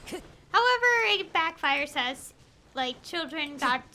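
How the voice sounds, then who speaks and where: A woman game streamer chatting casually on a livestream, rambling and telling stories in halting fragments. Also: high voice, expressive, sharp tone, a little hiss or hum.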